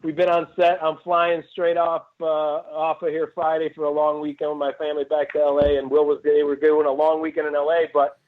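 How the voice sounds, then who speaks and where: A comedian in his forties from Cleveland, telling rambling, animated stories in a casual interview over a remote call. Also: a little high, brisk, few pauses. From Cleveland, Ohio. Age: 40s. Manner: rambling, animated storyteller who jumps between asides. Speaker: stand-up comedian guest. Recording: tinny phone-like call audio.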